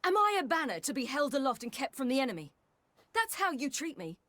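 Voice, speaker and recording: A woman's voice: high-pitched, big pitch swings, fairly quick, fairly bright tone, no echo. A woman with a British accent playing a headstrong, fiery young warrior in a fantasy video game. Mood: angry, defiant. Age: young adult. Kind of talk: video game cutscene acting.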